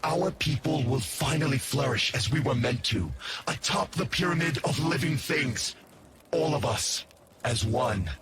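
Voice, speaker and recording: An actor playing a scornful, imperious machine-being warlord in a video game, speaking with theatrical, deliberate menace. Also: male voice, fairly high pitch, background noise. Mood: aggrieved, grandiose. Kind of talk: cinematic game villain acting.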